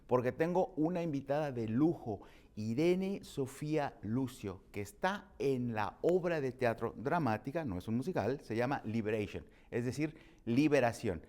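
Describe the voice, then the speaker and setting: A man's voice: medium pitch, lively intonation, moderate pace, darkish tone. A man hosting an interview in a restaurant, asking questions expressively with lots of repeated words. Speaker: talk show host. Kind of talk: hosted interview.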